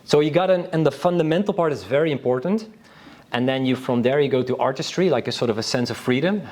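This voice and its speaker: A man's voice: medium pitch, fast, darkish tone. A man from Northern Europe speaking as a panelist in an informal conference talk, enthusiastic and rambling, often restarting his sentences.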